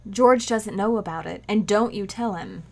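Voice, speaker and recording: A woman's voice: mid-range voice, moderate pace, creaky. A woman playing a cheerful, scatterbrained young housewife in 1940s-style radio comedy, with a breezy, innocently matter-of-fact manner.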